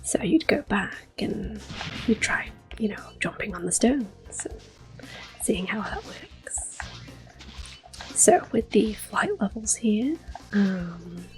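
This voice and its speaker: A woman in her thirties giving soft-spoken ASMR gameplay commentary, often slipping into a whisper, close to the mic. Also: mid-range voice, animated, slow, faint music behind, poor audio. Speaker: ASMR gaming channel host.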